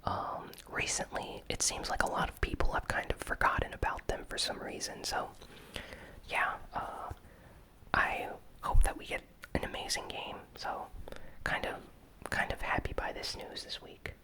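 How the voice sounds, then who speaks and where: This is an ASMR host who whispers through a gaming news recap. The voice is breathy and almost entirely unvoiced. The delivery is hushed and unscripted, with a hesitant flow. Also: moderate pace, poor audio.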